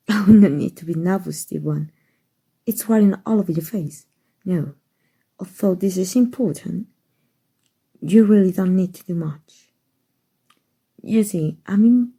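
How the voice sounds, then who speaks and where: Woman acting as aristocratic female vampire, doting and gentle, lowish pitch, very mellow tone, ASMR roleplay. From Mexico. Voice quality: breathy, soft. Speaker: voice actor.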